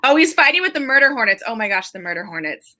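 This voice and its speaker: Lively teasing female co-host. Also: on the high side, fast talker. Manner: teasing, animated. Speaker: radio co-host. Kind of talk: casual morning-show banter.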